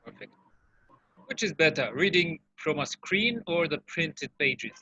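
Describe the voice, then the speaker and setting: A male interviewer with a fairly high, animated voice. It has a bright edge and some rasp, and the recording is muffled and lo-fi. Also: a little slow. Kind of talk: interview questions. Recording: dull, muffled lo-fi sound.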